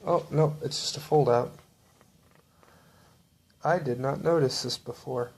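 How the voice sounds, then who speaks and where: A man showing off books from his shelf in a relaxed, rambling way with lots of fillers. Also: mid-range voice, unhurried, slightly rough. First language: English. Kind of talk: casual show-and-tell.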